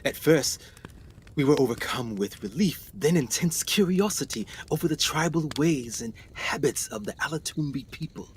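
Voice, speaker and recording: A man's voice: a little high, lively intonation, normal speed, a little hiss or hum, natural voice. A man playing an English explorer doctor in a radio play, theatrical, full of awe and haunted brooding. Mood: brooding, reflective. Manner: theatrical, breathless wonder, swings to hushed brooding.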